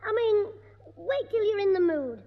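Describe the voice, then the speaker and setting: A man playing a cheeky, scheming schoolboy in a 1960s radio sitcom, quick with a retort and stammering when caught out. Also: very high-pitched, lively intonation. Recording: dull old radio broadcast with long reverb.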